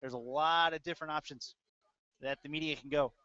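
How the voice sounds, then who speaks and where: A male announcer gives live play-by-play of a football game. His voice sits a little high for a man. The sound is close and dry, with a dull, lo-fi web stream quality. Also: fairly even intonation, brisk, bright, forceful tone, clean tone, no room sound.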